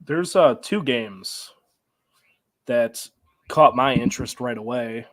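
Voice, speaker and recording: A man with an American accent co-hosting a sports show, talking casually in an informal, halting way. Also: medium pitch.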